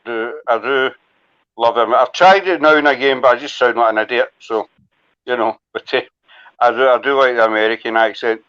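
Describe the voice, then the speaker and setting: A man's voice: medium pitch, brisk, a bit bright, clean tone. A Scottish man hosting a casual live chat, rambling and self-deprecating as he talks. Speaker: host. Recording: dull lo-fi audio.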